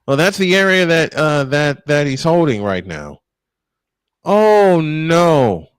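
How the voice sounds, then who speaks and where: A man's voice: fairly high pitch, sing-song, slow, clean tone, no room sound, clean studio sound. A man calling baseball play-by-play live, mixing it with casual chat in a folksy, exclamatory way.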